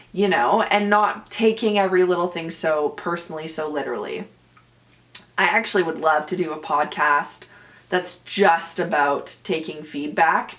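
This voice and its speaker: A young woman hosting her own podcast, an actor with a loud, boomy voice, chatting casually and animatedly, laughing often. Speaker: podcast host. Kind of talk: casual solo podcast chat.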